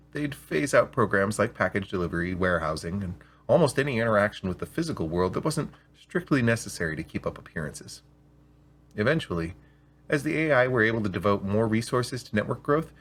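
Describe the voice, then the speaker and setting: A man with a slightly rough voice, hosting and reading scripted stories aloud in an expressive, deliberate way. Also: lowish voice, slight background noise. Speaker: storyteller and show host. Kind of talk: scripted story reading with spoken intro.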